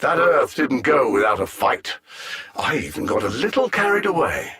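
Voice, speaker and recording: An actor playing a cold, condescending alien machine intelligence bent on conquest, a video game villain who speaks with measured menace and sardonic understatement. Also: male voice, mid-range voice, very animated, sharp tone, husky, full character voice. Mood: cold, calculating.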